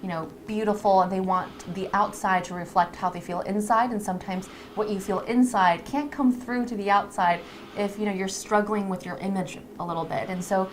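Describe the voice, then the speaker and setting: A woman co-hosts a live stream in a casual, chatty way, talking at a medium pitch. She explains things to her audience conversationally. Room ambience and noticeable noise sit behind her voice. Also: fairly even intonation, normal speed. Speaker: live stream co-host introducing a lash artist. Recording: clear background noise.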